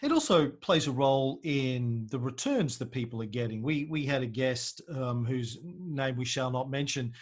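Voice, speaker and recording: Mid-pitched man hosting an interview. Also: expressive, normal speed, hardly any pauses. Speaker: podcast host. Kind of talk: casual interview chat.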